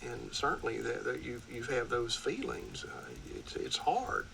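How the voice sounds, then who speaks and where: A man serving as a judge, speaking solemnly and haltingly with many pauses. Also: medium pitch, big pitch swings, vocal fry, background noise. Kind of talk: courtroom remarks.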